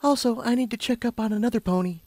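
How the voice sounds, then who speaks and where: A man voicing a timid, gentle young female pegasus pony in a soft, hesitant near-whisper. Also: extremely high pitch, quick pace, no echo, voice pushed up. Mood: shy, hesitant. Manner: hesitant, meek, polite.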